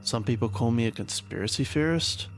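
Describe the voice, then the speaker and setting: A man's voice: medium pitch, animated, fairly quick, soft background music, close to an everyday voice. A man playing a haunted, paranoid conspiracy theorist, rambling uneasily and confidingly in an audio drama taped diary. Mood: uneasy, defensive.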